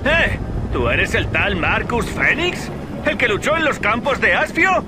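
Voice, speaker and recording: An actor in a game dub, playing an eager, excitable young soldier. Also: male voice, high-pitched, measured pace. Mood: starstruck. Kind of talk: video game dub acting. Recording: loud engine and gunfire noise. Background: engine noise, gunfire.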